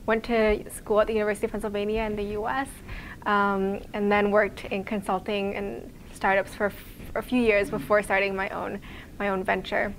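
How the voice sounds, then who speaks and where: A woman's voice: medium pitch, flat delivery, normal speed, a little hiss or hum, low-quality recording. A young woman from Quebec, Canada, giving a casual talk as a startup founder in a small office lounge, often saying "like" and "um". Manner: conversational, many fillers like "like" and "um". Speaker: guest speaker, startup founder.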